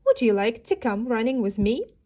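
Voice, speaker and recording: Woman voicing a brisk, teasing storybook girl. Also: fairly high pitch, sing-song, dark tone. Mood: brisk, bright. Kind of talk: children's storybook read-aloud character.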